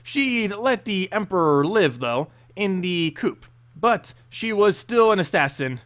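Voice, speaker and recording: Male fantasy narrator, high-pitched and animated, halting read, slow pace. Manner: halting, stumbling over the text. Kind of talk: reading a fantasy story aloud.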